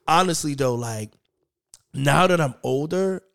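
A man in his thirties from a Haitian-American family, hosting a casual podcast chat, animated and laughing often. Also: fairly high pitch, measured pace, creaky. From United States, Haitian family. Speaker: podcast host.

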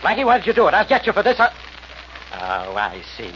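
A brusque, needling American police inspector in an old radio play. The voice is high and jumps about in pitch. The recording is muffled, with clear noise behind it. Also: male voice, wildly swooping pitch, brisk. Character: gruff, sarcastic male police homicide inspector. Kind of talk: 1940s radio acting.

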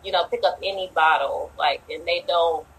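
A woman hosts a podcast interview in a husky, slightly low voice. She rambles through her questions and leans on fillers, sounding unhurried and informal. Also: poor audio. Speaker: podcast host.

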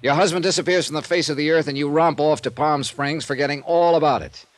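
A man's voice: fairly high pitch, quick pace, no echo, natural voice. Brusque hard-boiled detective played by a man. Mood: scornful, urgent. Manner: blunt, clipped, pressing. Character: brusque, hard-boiled male insurance investigator. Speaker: lead actor and narrator of a detective series. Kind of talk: 1950s radio drama acting.

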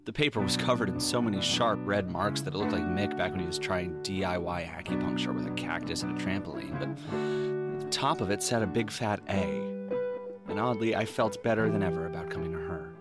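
An actor playing a weary, smitten, hard-boiled detective in a noir audio drama, speaking with an American accent in a wry, informal, halting way. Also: male voice, deep voice, over loud music, close to an everyday voice. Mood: wistful, reflective. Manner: wry, informal, halting, rough-edged street talk. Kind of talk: noir audio drama, first-person narration and dialogue.

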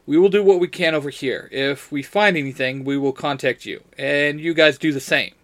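A man puts on a stern young hero leading his team in an audio story. He is forceful and demanding, in a fairly high male voice. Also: normal speed, close to an everyday voice. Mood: commanding. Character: Jason, stern commanding young male ranger team leader.

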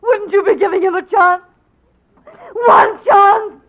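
A woman's voice: extremely high pitch, slow pace. An actress with an Irish accent playing a desperate young woman begging for mercy in a 1940s radio drama. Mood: pleading. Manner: pleading, tearful. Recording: tinny old radio broadcast.

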